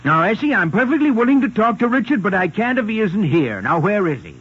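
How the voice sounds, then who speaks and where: Animated, blustering father. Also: high voice, brisk, breathy edge, exaggerated voice. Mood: exasperated. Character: blustering but kindly middle-aged father, exasperated. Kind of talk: old-time radio acting. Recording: muffled old radio recording, faint hiss.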